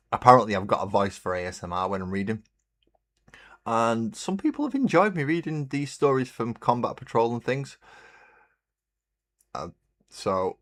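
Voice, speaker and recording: A man hosting a relaxed hobby livestream, chatting in a rambling way and greeting viewers while he paints. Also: low voice. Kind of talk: casual chat while painting. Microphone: desk mic on a webcam stream.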